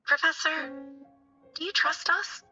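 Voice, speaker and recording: A woman's voice: very high voice, unhurried, bright, forceful tone, muffled recording, exaggerated voice. A woman voicing an eager, affectionate young female student in a video game, chirpy and informal. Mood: concerned. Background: game music.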